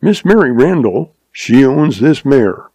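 A man's voice: medium pitch, sing-song, slow pace, mellow, breathy edge, no echo, pitched above the performer's own voice, exaggerated voice. A man voicing a chatty little male stableman who chuckles and talks in folksy frontier speech. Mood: chuckling, folksy. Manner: chuckling, folksy western drawl.

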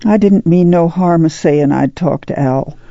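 Woman acting dark-voiced, folksy country mother. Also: fairly low pitch, unhurried, no room sound. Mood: gentle, soothing. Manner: plain-spoken folksy country speech. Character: steady, soothing, strong-willed rural mother. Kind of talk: dramatized literary reading. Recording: dull, muffled audio.

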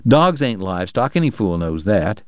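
A crude, short-tempered frontier official, as a male narrator puts him on, blunt and coarse in a storybook western. His voice is smooth and close, with no room sound. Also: lowish voice, sing-song, moderate pace, dry recording. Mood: gruff.

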